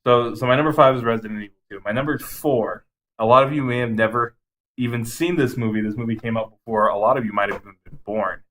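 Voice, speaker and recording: A man's voice: mid-range voice, quick pace, fairly bright tone, low-quality recording. A man co-hosting a podcast, casually and enthusiastically rambling through his favorite game movies with some swearing. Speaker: podcast co-host.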